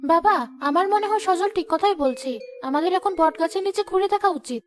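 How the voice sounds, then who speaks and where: A woman's voice: extremely high pitch, moderate pace, faint music behind. A woman playing a village headman's reasonable young daughter in a cartoon, earnest and persuasive. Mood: earnest, reasoning. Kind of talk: cartoon voice acting.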